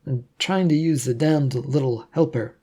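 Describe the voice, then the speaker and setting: Hapless, evasive man for an audiobook, acted by a narrator; hesitant, defensive, mellow tone. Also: medium pitch, moderate pace, breathy edge, natural voice. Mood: exasperated. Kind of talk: audiobook character voice.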